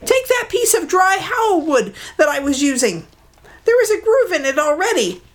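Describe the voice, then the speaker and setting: A woman doing a shrill, sly mud hen. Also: very animated, moderate pace. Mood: pleading shrill. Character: frightened sly female mud hen bird.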